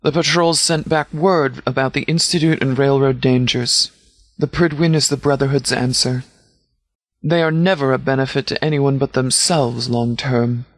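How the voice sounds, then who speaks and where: A man voicing a stern, commanding sheriff who leads a wasteland militia in a video game, speaking in a formal, measured, authoritative way. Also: mid-range voice, fairly even intonation, no echo, lightly acted. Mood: grave, explanatory. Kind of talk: scripted video game dialogue.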